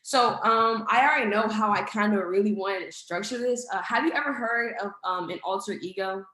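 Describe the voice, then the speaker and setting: A woman's voice: medium pitch, a little fast, bright, forceful tone. Woman interviewer, medium voice, roomy echo, casual, filler-heavy questions. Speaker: podcast host. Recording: echoey room.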